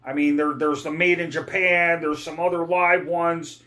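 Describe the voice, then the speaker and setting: A middle-aged man giving a guitar lesson in a rambling, digressive way, often clearing his throat. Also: on the high side, little pitch movement, moderate pace, sharp tone. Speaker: guitar teacher. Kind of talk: casual guitar lesson talk.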